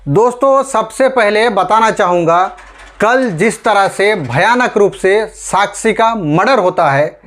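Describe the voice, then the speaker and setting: A man's voice: sits high, slow, fairly bright tone. A man from India presenting online news commentary in an emphatic, rhetorical way, addressing his audience directly. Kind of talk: scripted news commentary to camera.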